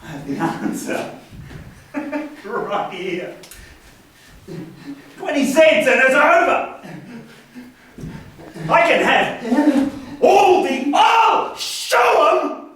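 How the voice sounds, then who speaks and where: A man acting in a stage play as a vengeful, unhinged brother, ranting and stammering, swinging from hiss to shout. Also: extremely high pitch, sing-song, very slow, long pauses, heavily acted. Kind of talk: amateur one-act stage play acting. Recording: echoey room from far off, noisy.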